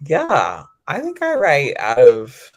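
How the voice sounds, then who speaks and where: A man's voice: fairly high pitch, wildly swooping pitch, takes it slowly. A man speaking as a poet being interviewed, reflective and tentative, repeating words as he works out a thought.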